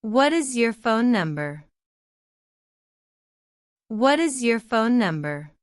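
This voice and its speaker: Woman, practice-drill reader, repeats every line, unhurried, crisp and dry. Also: on the high side, very animated, dry recording. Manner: reads each line twice, slow and deliberate. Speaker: citizenship test practice narrator. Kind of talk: slow repeated question-and-answer drill.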